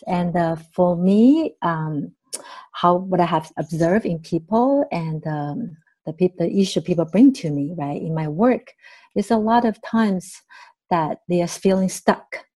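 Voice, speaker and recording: A woman's voice: fairly low pitch, warm tone, slightly rough, rough recording. A woman, speaking as a podcast guest and therapist in training, thoughtful and full of fillers and "right?" tags. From Taiwan. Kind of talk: podcast interview.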